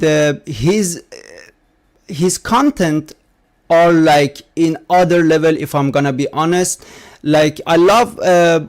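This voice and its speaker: A man hosting a gaming podcast, talking casually with many fillers and halting, non-native phrasing. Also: fairly high pitch. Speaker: podcast host.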